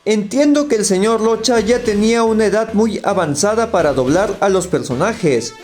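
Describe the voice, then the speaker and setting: A man's voice: high-pitched, animated, moderate pace, a little mellow, faint music behind. A man narrating an opinionated scripted review. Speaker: video critic.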